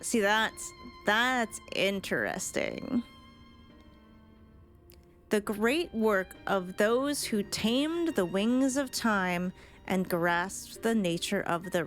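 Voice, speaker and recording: A woman's voice: on the high side, fairly bright tone. A woman game streamer giving casual reaction commentary on cutscenes, thinking aloud as she goes. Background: game music.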